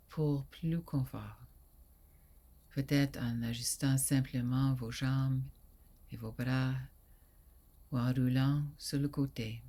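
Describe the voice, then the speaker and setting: A woman guiding a sleep meditation in a soft, soothing voice that often drops to a breathy near whisper, with long pauses. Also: extremely low pitch. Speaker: yoga nidra guide.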